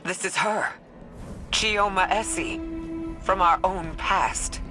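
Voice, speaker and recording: A woman playing a solemn, loyal warrior in a video game, with a grave and deliberate delivery. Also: mid-range voice, over music, close to an everyday voice. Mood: shaken, grim. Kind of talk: dramatic video game acting.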